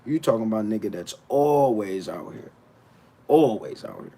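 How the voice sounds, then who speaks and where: A man's voice: fairly low pitch, moderate pace. Blunt, stammering man ranting on a stream. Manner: blunt and animated, with stammered restarts; talks back to his chat. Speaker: battle rapper streaming to his chat. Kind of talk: casual livestream rant.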